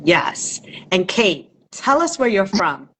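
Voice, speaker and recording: A woman's voice: medium pitch, big pitch swings, slow pace, fairly bright tone, slight background noise, poor audio. A woman from England, hosting an interview in an eager, talkative way. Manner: enthusiastic, chatty. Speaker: host. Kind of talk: interview chat.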